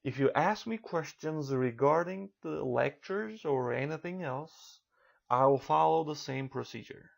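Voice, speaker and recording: A man in his thirties from Turkey with a Turkish accent, delivering a recorded online college lecture in a measured, careful way. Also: a little high, vocal fry. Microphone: computer mic for a narrated slideshow.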